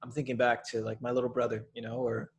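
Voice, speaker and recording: Husky young man, casual and blunt. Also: medium pitch, fast talker. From Minneapolis, USA. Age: young adult. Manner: animated, blunt, uses slang like dude. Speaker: podcast guest. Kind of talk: casual conversation.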